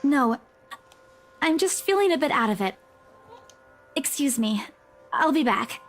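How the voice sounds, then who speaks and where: A woman's voice: high voice, expressive, measured pace. A woman playing an anxious young estate agent in a game, shaken and flustered.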